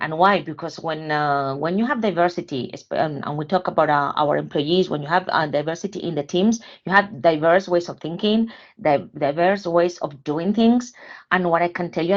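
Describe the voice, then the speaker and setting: A woman speaking as a podcast guest, earnest and halting, searching for words with many fillers. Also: fairly low pitch, muffled recording. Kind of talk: casual panel discussion.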